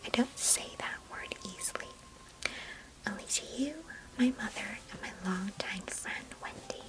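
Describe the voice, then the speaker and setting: A woman's voice: on the high side, huge pitch swings, measured pace, rough recording. Woman playing a whispering, chatty affectionate cousin. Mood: fond, gentle. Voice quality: breathy, unvoiced whisper. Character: chatty, affectionate, nostalgic female cousin, former ballet student. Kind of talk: whispered ASMR roleplay.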